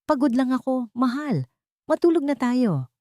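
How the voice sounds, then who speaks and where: A woman's voice: sits high, big pitch swings, measured pace, mellow, dry recording, clean studio sound, voice pushed up. A woman voicing an affectionate but evasive male fiancé in a romance story, reassuring and brief. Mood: tired, evasive.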